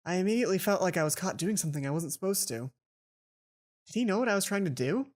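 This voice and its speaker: A woman reading a visual novel aloud for a let's play, expressive, with casual asides of her own. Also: fairly low pitch, fast. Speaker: let's player.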